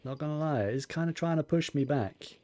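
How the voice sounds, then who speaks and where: A man's voice: fairly high pitch, brisk, dark tone. A man giving casual live gameplay commentary, muttering and thinking aloud as he goes. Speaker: game streamer. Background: faint game music.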